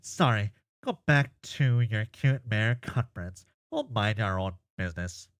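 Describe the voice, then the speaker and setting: A man's voice: lowish voice, animated, a little slow, dry recording, clean studio sound. Man playing a goofy, earnest, chatty young guy. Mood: defensive. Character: earnest, goofy, chatty boy full of silly opinions.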